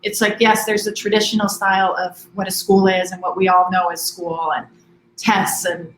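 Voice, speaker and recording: A woman's voice: mid-range voice, moderate pace, slight background noise. Woman from Hawaii in an echoey room. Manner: relaxed, many ums and restarts. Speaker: admissions director guest. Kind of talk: conversational interview.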